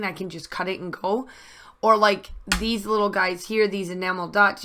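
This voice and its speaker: A woman's voice: mid-range voice, bright, forceful tone. A woman narrating a scrapbooking video in a casual, rambling voice-over with many fillers and restarts, her voice a little groggy after losing it. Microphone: voice-over mic.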